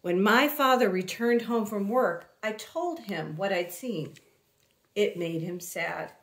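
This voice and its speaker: A woman reading a children's story aloud expressively, in a deliberate way with dramatic pauses. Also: mid-range voice, fairly bright tone. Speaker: picture book reader.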